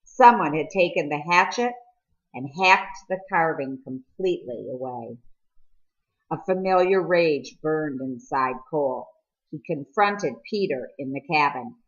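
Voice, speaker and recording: A woman's voice: deep voice, expressive, measured pace, lo-fi sound. A woman reading a novel aloud as scripted narration. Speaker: audiobook reader.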